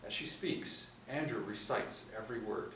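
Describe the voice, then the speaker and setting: A man's voice: on the low side, normal speed, creaky, a bit bright. Middle-aged man narrating in echoey room. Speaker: narrator reading stage directions. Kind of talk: scripted narration at a table read. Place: small indoor room, seated reading from tablet. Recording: echoey room, distant mic, faint noise.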